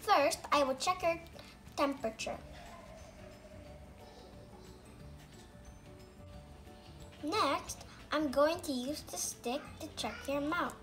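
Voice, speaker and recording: A woman voicing a calm, reassuring doctor puppet, explaining carefully in a scripted children's educational show. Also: sits high, measured pace, music in the background.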